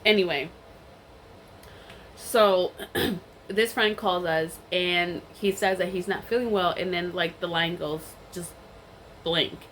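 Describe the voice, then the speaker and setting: A woman's voice: fairly low pitch, fairly even intonation, slow, long pauses, a bit bright, slight background noise, low-quality recording. A young woman with a cold and a stuffy voice, telling a rambling story in a casual chat while she eats. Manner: chatty and rambling, many fillers and restarts. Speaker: vlogger.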